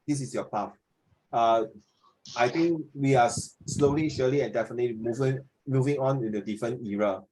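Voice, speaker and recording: A man's voice: mid-range voice, brisk, a little mellow, a little hiss or hum, low-quality recording. Singaporean man in his fifties, questioning teacher. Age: 50s. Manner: questioning, repeats himself, tags sentences with "ah". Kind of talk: informal online class discussion.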